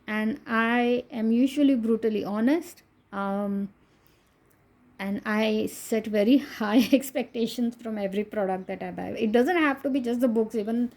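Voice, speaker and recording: A woman's voice: on the high side, moderate pace, darkish tone. A woman from India with an Indian accent, whose first language is Konkani, chatting casually through a coloring book flip-through with frequent laughs. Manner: chatty, laughs often, self-deprecating asides.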